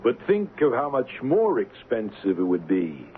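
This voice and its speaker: A man's voice: medium pitch, wildly swooping pitch, a little slow. An actor playing a smug, assured male blackmailer who needles as he bargains, in 1970s radio drama style. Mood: smug, needling. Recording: narrow-band old radio, faint noise.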